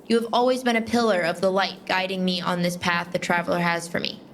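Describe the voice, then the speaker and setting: A woman playing a steadfast, plain-spoken young Titan warrior in a fan audio drama, earnest and measured. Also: lowish voice, fairly even intonation, a little hiss or hum, close to an everyday voice. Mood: solemn, caring.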